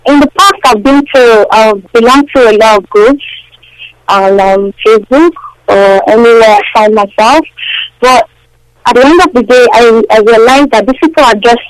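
A woman from Nigeria calling into a radio show, talking in halting bursts with many fillers over a phone line. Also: a little high, no echo. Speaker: radio call-in caller. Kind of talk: phone-in chat.

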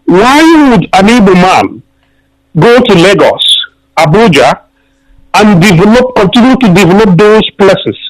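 An older Nigerian man phones in to a radio show, talking earnestly and slowly with frequent pauses. His voice is notably high for a man. He sounds like a man in his sixties. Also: big pitch swings, poor audio. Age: 60s. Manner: earnest, slow, with many pauses. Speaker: radio caller. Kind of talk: call-in radio talk. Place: London.

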